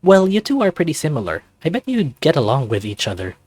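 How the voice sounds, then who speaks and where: A man's voice: a little high, wildly swooping pitch, fast, a little mellow, no echo, voice pushed up. A man voicing a sarcastic, incredulous teenage guy, the hero of a visual novel, with teasing, questioning delivery. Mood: smug, teasing. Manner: dry, teasing, exclamatory questions.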